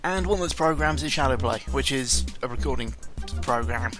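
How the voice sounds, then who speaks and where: A man with a medium-pitched voice rambles casually into a close mic, with music clearly playing behind him. He is self-deprecating and sarcastic, swears freely, and often trips over his words as he goes. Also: moderate pace. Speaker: YouTuber recording an audio log. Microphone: close microphone with pop filter. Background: background music.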